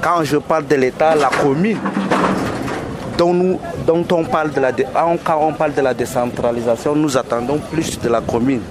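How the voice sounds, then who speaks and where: A man who trades goods, interviewed at an outdoor market and hesitating often as he talks. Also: a little high. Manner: slow, with many hesitations. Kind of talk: field interview. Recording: outdoors with loud noise.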